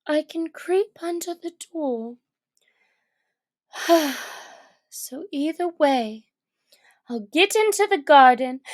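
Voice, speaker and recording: A woman's voice: extremely high pitch, voice pushed up, full character voice. A woman voicing a curious, earnest young girl who talks to herself in exclamations in a children's storybook. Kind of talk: expressive children's storybook character reading.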